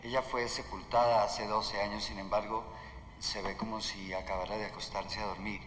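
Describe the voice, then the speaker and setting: A man's voice: lowish voice, little pitch movement, normal speed, fairly bright tone, lightly acted. A middle-aged actor with a Colombian accent playing a humble, earnest father in a film, speaking politely and deferentially. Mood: respectful, earnest. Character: humble, earnest Colombian father seeking his daughter's sainthood. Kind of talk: naturalistic film acting. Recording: echoey reverberant halls with faint noise.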